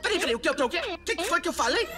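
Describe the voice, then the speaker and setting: A woman dubbing a brash, excitable eleven-year-old boy in a Brazilian cartoon, speaking with cocky drama. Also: extremely high pitch, very fast, over loud music. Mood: bewildered.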